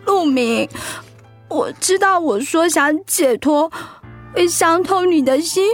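An actress playing a hurt, outspoken wife recovering from cancer in an emotional, plaintive audio drama performance. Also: female voice, very high voice, slow, soft background music. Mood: tearful, aching.

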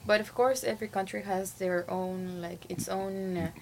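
A woman's voice: medium pitch, steady tone, moderate pace, vocal fry, crowd noise. A young woman from Guatemala whose first language is Spanish, answering interview questions casually, with lots of fillers, restarts and laughs. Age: young adult. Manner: hesitant, many fillers and restarts, frequent laughs. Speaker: interview guest, international student.

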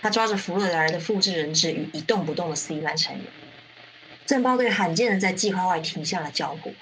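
A woman acts a composed, detached leader in a radio drama. Her voice sits fairly low and she explains things in measured phrases. Clear background noise runs under the recording. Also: expressive, a bit bright, rough recording. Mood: measured, suspenseful. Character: composed female narrator and leader of a secret faction. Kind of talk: radio drama narration and dialogue.

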